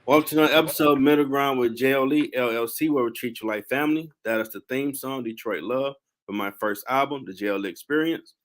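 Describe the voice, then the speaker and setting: A man hosting a casual podcast interview, brisk and slangy in manner. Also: medium pitch. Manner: brisk, laid-back, slangy. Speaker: podcast host.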